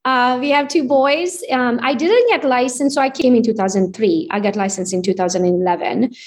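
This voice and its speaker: Brief: woman in her 40s, Hungarian accent, bright-pitched, lively interview guest. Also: normal speed. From Romania. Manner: upbeat, animated storytelling with tag questions. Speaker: real estate agent guest. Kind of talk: casual interview chat.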